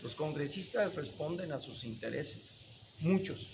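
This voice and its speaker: A man's voice: fairly high pitch, expressive, a little slow, vocal fry, background noise. A man with a Mexican accent, answering interview questions in earnest, repeating key words for emphasis. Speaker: interview guest, businessman. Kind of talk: casual interview.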